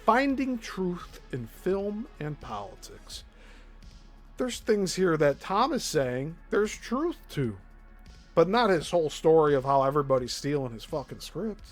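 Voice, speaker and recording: Slow-talking Midwestern man, exasperated. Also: fairly high pitch, sing-song, soft background music. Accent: Midwestern American. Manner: blunt, exasperated, laughs often. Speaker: show host. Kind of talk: live-stream commentary on played clips.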